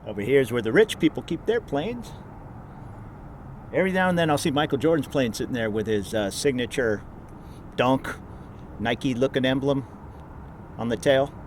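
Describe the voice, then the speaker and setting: A man's voice: medium pitch, animated, moderate pace, long pauses. A man giving casual, off-the-cuff commentary as a plane-spotting vlogger, outdoors. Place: outdoors near an airport runway. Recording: outdoor recording with faint traffic noise. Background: faint vehicle and outdoor ambience.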